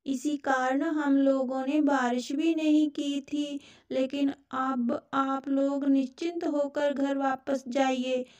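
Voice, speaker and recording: A woman voicing a gentle, easily hurt rain cloud in a children's cartoon, with a plaintive, simple manner. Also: high-pitched, little pitch movement, slow. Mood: relieved.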